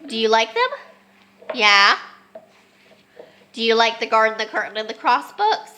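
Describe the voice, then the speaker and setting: Congested young mom vlogging a home lesson. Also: female voice, fairly high pitch, very animated, measured pace, sharp tone. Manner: chatty, with many ums and restarts. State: congested, losing her voice. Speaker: homeschooling mom. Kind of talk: casual home vlog lesson. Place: family home with children.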